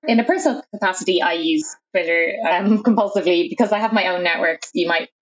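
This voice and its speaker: A woman's voice: fairly low pitch, fast talker, dull audio. An Irish woman speaking casually as a guest on a podcast, with easy laughter. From Ireland. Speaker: social media editor, podcast guest.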